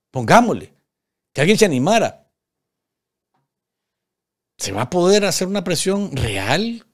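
Emphatic Nicaraguan male commentator. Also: mid-range voice. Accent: Nicaraguan Spanish. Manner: emphatic, repeats phrases, rhetorical questions. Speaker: political commentator and show host. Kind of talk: live political commentary. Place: small indoor studio at a desk. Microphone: desk studio microphone.

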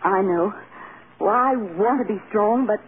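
Actress as a fragile young star, tinny radio. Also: female voice, mid-range voice, measured pace, close to an everyday voice. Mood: despairing. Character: grieving, earnest young film star, emotionally fragile. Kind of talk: 1940s radio acting. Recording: tinny, hissy old radio recording.

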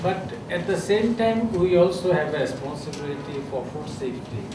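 A man's voice: fairly high pitch, animated, moderate pace. A middle-aged man with a Bangladeshi English accent, a health official giving unscripted panel remarks at a podium, hesitant, with many fillers and restarts. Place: conference room with a seated audience. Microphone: podium microphone. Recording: echoey room, voice from a distance, clear background noise.

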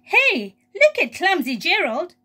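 A woman reading a storybook as outspoken, excitable jungle animals, in an exclamatory way. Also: very high voice, slow pace, voice pushed up, exaggerated voice. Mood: mocking.

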